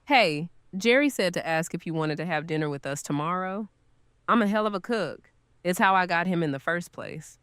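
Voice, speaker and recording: Woman acting a breezy friend, smooth low voice. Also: animated, moderate pace, a bit bright, no room sound, clean studio sound. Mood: friendly. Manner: casual, irreverent. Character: blunt, breezy, good-humored woman friend. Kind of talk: audio drama acting.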